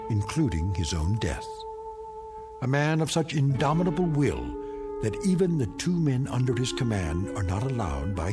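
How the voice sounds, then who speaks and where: A man narrating a suspense radio series in a deliberate, ominous, portentous manner. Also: medium pitch. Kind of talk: scripted suspense anthology narration. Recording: dramatic music behind the voice.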